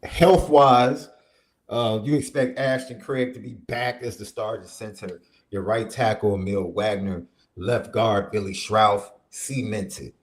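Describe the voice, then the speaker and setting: A man with a stuffy summer cold hosting a casual sports podcast, animated and laughing often. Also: on the low side, a little slow. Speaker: podcast host and minister. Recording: roomy echo.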